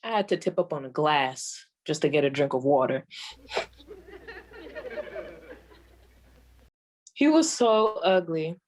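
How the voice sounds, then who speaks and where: A woman playing a sassy old comedienne griping about her ancient husband, in the deadpan, drawn-out style of a 1940s radio stand-up monologue. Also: low-pitched, a little hiss or hum, rough recording. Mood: mock exasperation. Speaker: comedienne performer.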